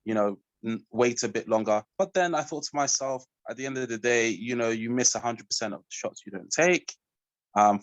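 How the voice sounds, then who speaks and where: A man talking as a student guest on a webinar, relaxed and rambling with lots of fillers, over a video call. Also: lowish voice, clear, resonant tone, no echo, rough recording. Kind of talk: casual chat.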